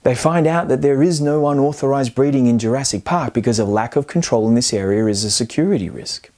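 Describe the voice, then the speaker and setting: A man's voice: medium pitch, fairly quick, warm tone. A man hosting a scripted film video essay, speaking in an emphatic, playfully argumentative and colloquial way. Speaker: film commentary host.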